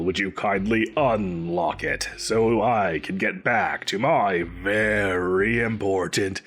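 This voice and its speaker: Guy doing a slow-talking haughty supervillain boss, evasive n flustered, audio drama, bright forceful voice. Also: low-pitched, slightly rough, heavily acted. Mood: nervous, evasive. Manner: theatrical, haughty, stammering when defensive. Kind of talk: scripted audio roleplay acting.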